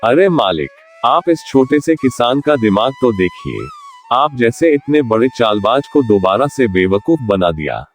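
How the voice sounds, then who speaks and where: An actor voicing a landlord's sly, mocking crony in a cartoon, who needles and blusters. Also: male voice, medium pitch, sing-song, a little slow, faint music behind. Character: sly flattering male sidekick, landlord's crony.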